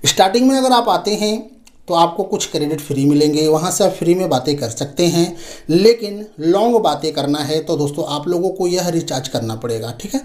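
A man's voice: on the high side, darkish tone. A man with an Indian accent hosting an informal step-by-step tech tutorial, addressing viewers directly and repeating his points. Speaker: tech tutorial video host.

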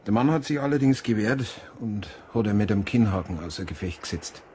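Fast-talking Bavarian policeman played by a man. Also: on the low side, animated, mellow, a little hiss or hum, lightly acted. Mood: factual. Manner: factual, reporting. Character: eager male Bavarian policeman reporting an incident. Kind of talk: naturalistic German radio crime drama acting.

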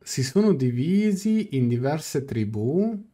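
Mellow young man thinking aloud. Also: a little high, big pitch swings. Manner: halting, thinking aloud, casual swearing. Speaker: game streamer. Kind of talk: live reaction, translating game dialogue aloud. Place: small room indoors, on webcam.

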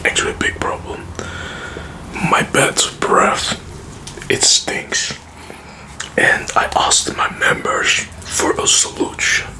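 A man in his thirties doing a close-miked ASMR roleplay in a breathy, unvoiced whisper, with halting short phrases and mouth sounds. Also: rough recording. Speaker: ASMR video host. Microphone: close to the mic.

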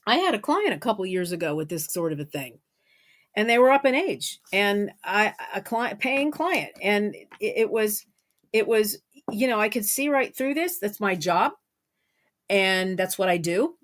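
A woman's voice: medium pitch, expressive, moderate pace. A middle-aged woman giving an unscripted solo podcast monologue as a spiritual guide, emphatic and repeating phrases for stress.

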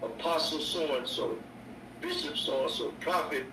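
An older man, a bishop preaching a sermon on his radio show, slow and repetitive with stammered restarts. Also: on the high side, creaky. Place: indoor room. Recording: echoey distant mic with noise.

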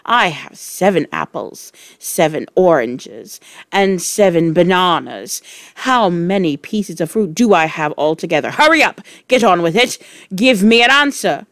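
A woman's voice: fairly low pitch, animated, measured pace, dry recording, studio quality. A woman voicing an enormous bullying headmistress in a storybook, barking out scornful, furious insults.

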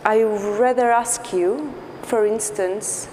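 A woman in her thirties from Portugal with a Portuguese accent, giving an earnest conference talk as a psychologist, pausing often with fillers. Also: fairly high pitch, darkish tone. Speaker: clinical psychologist speaker. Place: large dark stage. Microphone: lapel mic. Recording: large hall with faint noise.

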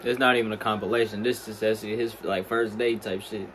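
A man's voice: on the low side, steady tone, quick pace, fairly bright tone. Man casually reacting over heavy noise. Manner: slangy, heavy swearing. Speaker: reaction streamer. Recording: very noisy background.

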